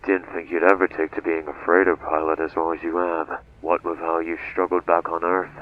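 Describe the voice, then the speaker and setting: A man playing a weary, introspective space freighter captain in a game fan dub, speaking in a measured, earnest way. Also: deep voice, level tone, narrow, tinny audio, a little hiss or hum, natural voice. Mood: casual, probing. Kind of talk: dramatic game fandub dialogue.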